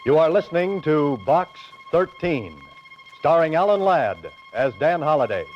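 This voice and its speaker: A man's voice: fairly high pitch, animated, measured pace. A man announcing a 1940s radio show. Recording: dull vintage radio recording, loud music. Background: loud music.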